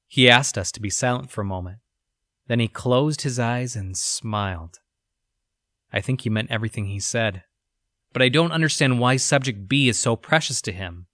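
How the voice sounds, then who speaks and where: A man playing an unsettled 1960s researcher who records grim experiments in a measured, clinical journal for an audio drama. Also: mid-range voice, sharp tone, no room sound, clean studio sound, natural voice. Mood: uneasy, puzzled.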